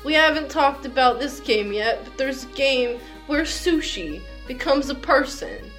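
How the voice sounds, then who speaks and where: A woman's voice: sits high, animated, measured pace, fairly bright tone, soft background music. A woman guest on a podcast, chatting casually about games in a dryly joking, emphatic way.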